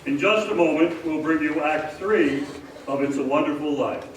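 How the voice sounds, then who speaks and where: A man's voice: on the high side, normal speed, recorded from a distance, a loud crowd. A man narrating and announcing in showy, dramatic old-time radio style. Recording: echoey, noisy theater stage from afar.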